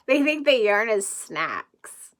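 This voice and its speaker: Kindergarten teacher lady reading a kids book, slow pace, highish voice, playful lil asides. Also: a bit bright. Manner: playful, questioning, talking to young children. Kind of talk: expressive picture-book read-aloud with chatty asides.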